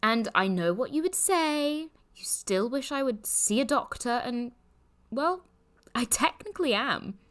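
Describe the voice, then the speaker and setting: A breezy teasing young woman, highish voice, really animated, podcast drama. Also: measured pace. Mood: breezy, reassuring. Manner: bubbly, teasing. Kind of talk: audio drama, podcast-style voice message.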